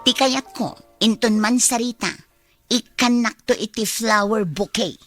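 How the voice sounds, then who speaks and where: High-pitched, sulky young Ilocano girlfriend. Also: unhurried, no room sound, soft background music. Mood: demanding, pouting. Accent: Filipino, Ilocano. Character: envious, demanding young girlfriend, sulky and wistful. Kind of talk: radio drama acting.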